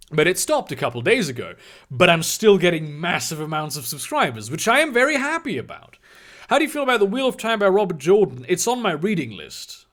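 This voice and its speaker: A man in his twenties whose first language is German, answering chat questions on a livestream in a halting, casual way. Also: fairly high pitch, fairly bright tone. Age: 20s. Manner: halting, restarts often, reads donor questions aloud.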